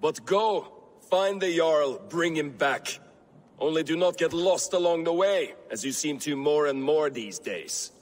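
Scornful high-pitched Viking in a hall. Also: male voice, animated, measured pace. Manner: sarcastic, contemptuous. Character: bitter, scornful middle-aged male Viking warrior. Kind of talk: video game cutscene acting.

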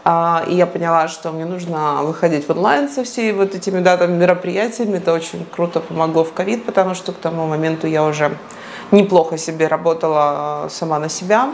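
A woman in her forties from eastern Ukraine, a psychologist giving a reflective documentary interview in her apartment on a lapel mic, pausing often to search for words. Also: fairly low pitch, slight background noise. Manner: thoughtful, searching for words with many fillers. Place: apartment living room, indoors.

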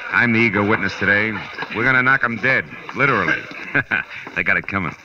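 An actor playing a wry, tough but kind private detective in 1940s radio drama, clipped, slangy and sardonic. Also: male voice, lowish voice, fairly quick, crowd noise, close to an everyday voice. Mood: wry, confident. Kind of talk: 1940s radio drama acting, hard-boiled first-person narration. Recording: dull 1940s radio recording, faint music.

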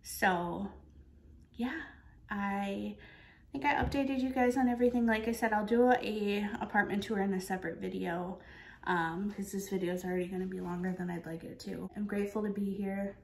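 A woman's voice: mid-range voice, fairly even intonation, normal speed, creaky. A woman in her twenties talking casually to camera as a vlogger, in an earnest way with emphatic repetition. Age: 20s. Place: kitchen of an apartment, indoors. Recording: echoey indoor room.

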